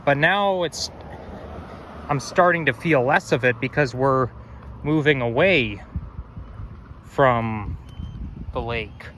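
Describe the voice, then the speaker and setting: Man, a bit hoarse and growly, rambling walk-and-talk, faint traffic behind. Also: medium pitch, slow, pauses often, fairly bright tone. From Toronto, Canada. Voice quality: strong, slightly growly. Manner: rambling, self-correcting, musing aloud. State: slightly hoarse, frog in throat. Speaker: walking-tour vlogger. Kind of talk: casual walking narration. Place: outdoors on city streets. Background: faint passing traffic.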